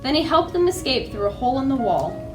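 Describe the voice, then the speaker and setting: High-voiced woman reading a children's storybook. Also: big pitch swings, fast talker. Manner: dramatic, with asides to young listeners. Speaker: library storyteller. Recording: echoey room with faint music.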